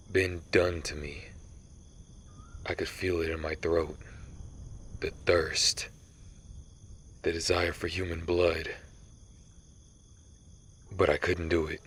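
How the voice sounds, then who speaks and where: Casting: a man to play a centuries-old vampire slayer of his own kind. Bottomless low register, flat delivery, solemn dramatic storytelling for ASMR audio drama. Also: breathy edge, exaggerated voice. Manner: grave, measured, dramatic storytelling. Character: brooding centuries-old male vampire who hunts vampires. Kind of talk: ASMR roleplay monologue.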